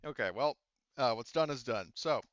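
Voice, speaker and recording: A man with a clear, smooth voice runs a tabletop game as game master. He explains rulings casually and wryly, and the sound is dry and slightly dull. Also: medium pitch, expressive, moderate pace, a bit bright, no echo.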